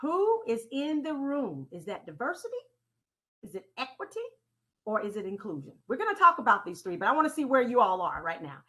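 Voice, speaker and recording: Deliberate woman trainer with a higher-pitched voice. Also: very animated, fairly bright tone. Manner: deliberate, teacherly, asks the audience questions and repeats key phrases. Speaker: presenter leading a training session. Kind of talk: interactive training talk. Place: online conference call with slides.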